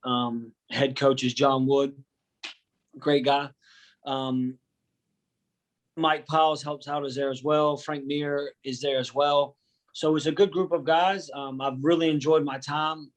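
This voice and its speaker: A man's voice: mid-range voice, low-quality recording. A man who coaches wrestling, chatting in an easygoing, joking way as an interview guest. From United States. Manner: easygoing, joking, uses slang. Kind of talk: casual interview.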